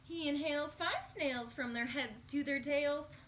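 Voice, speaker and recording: A woman reading a picture book aloud to young children in an expressive, sing-song storytelling manner. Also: high voice, a little slow, a little hiss or hum.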